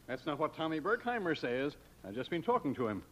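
A man's voice: fairly high pitch, big pitch swings, brisk, fairly bright tone. A man playing a wry, genial old grandfather in 1940s radio acting style, with a dry, teasing way of telling things. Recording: dull old radio recording.

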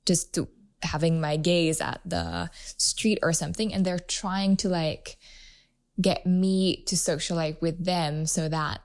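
A woman's voice: on the low side, fairly even intonation, measured pace, a little mellow, clean tone. A woman hosting her own podcast, chatting casually and rambling with lots of "like" fillers. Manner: chatty and rambling, heavy use of "like". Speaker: podcast host. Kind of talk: casual solo podcast chat.

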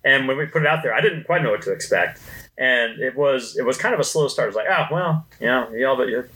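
A man's voice: mid-range voice, lively intonation, fast, pushed and bright, low-quality recording. A man reminiscing casually as a panelist in a conversational podcast.

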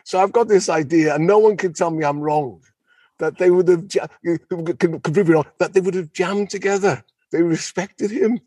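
A man's voice: high-pitched, normal speed, a little mellow, breathy edge, no echo. A man talking conversationally about history as a podcast guest, enthusiastic and digressive, often repeating phrases for emphasis. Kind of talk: unscripted, conversational history discussion.

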